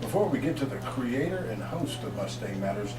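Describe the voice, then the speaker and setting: A man hosting a community talk radio show with energetic, promotional delivery, reading out phone numbers and introducing guests. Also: mid-range voice, brisk. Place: radio booth set up in a shopping mall. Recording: roomy, distant mic, noisy.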